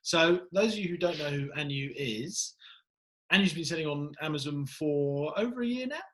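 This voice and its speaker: Upbeat guy hosting a video call, bit higher voice, keeps it friendly. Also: expressive, normal speed. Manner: upbeat, warm greetings, leads with questions. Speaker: host. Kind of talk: interview host.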